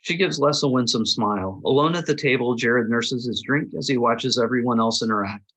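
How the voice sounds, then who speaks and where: Husky man reading stage directions. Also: medium pitch, a little fast, a bit bright, rough recording. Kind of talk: scripted narration at a table read.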